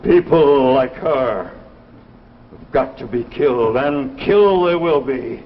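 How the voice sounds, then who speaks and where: A man's voice: mid-range voice, lively intonation, takes it slowly. An actor in a 1950s television drama playing a vengeful, unsettling man with a dramatic, emphatic delivery. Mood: menacing. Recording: dull, noisy 1950s TV recording.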